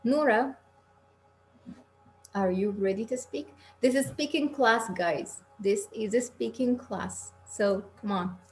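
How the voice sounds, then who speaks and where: A woman's voice: fairly high pitch, takes it slowly, soft background music. A woman from Egypt teaching an English class online, talking in an encouraging, reassuring, informal conversational way. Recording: noisy online call with background hum.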